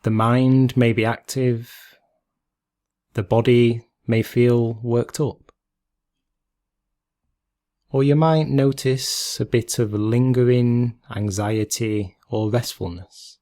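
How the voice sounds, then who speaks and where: A man leading a guided meditation in a calm, gentle, measured way, leaving long pauses between phrases. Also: mid-range voice.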